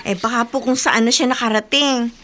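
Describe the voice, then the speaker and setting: A woman's voice: on the high side, steady tone, fairly quick, sharp tone, a little hiss or hum. A Filipino woman playing an eager, caring younger sister in a radio drama. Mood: reassuring.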